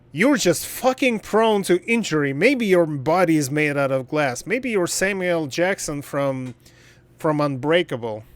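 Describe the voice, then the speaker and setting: Man, game streamer, fairly high clear voice, slow halting pace, casual, blunt, joking. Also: no echo. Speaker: game livestreamer. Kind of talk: casual livestream chat while gaming.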